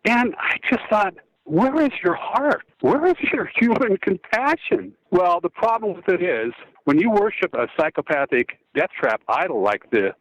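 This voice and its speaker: An older American man with a fairly high voice that leaps up and drops low as he speaks with preachy conviction. He moves between rising outrage and quiet sorrow. The sound is close and dry, with no room around it. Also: no room sound, lo-fi sound. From United States. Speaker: guest, former football quarterback. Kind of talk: phone-in interview talk.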